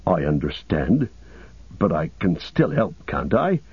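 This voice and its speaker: A man's voice: rumbling low, very animated, unhurried, slightly rough, dry recording, highs cut off, voice pushed down, full character voice. A man voicing a loyal, humble hobbit servant in an audiobook, plain-spoken and deferential, with homely rustic phrasing. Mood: steady, caring.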